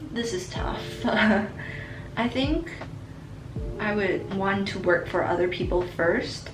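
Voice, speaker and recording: Chatty young woman with a creaky voice. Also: mid-range voice, echoey room, low-quality recording. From Singapore. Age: young adult. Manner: chatty, reflective, laughs between answers. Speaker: vlogger. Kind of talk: casual chat answering viewer questions.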